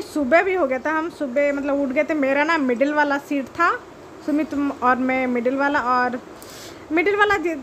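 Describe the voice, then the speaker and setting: High-voiced chatty woman vlogger. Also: slight background noise. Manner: chatty, excited, addresses viewers directly. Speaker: travel vlogger. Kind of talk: casual vlog voice-over.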